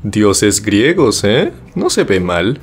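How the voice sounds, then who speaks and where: Man playing a low-voiced, laid-back teenage boy. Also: very animated, slow, faint music behind, heavily acted. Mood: easygoing.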